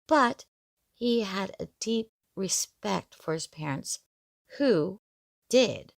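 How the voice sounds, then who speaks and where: A woman reads a scripted story with measured expression. Her pace is slow and her slightly low voice has plenty of vocal fry. The sound is dry and close. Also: no echo. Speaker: horror story narrator. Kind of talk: scripted first-person story narration.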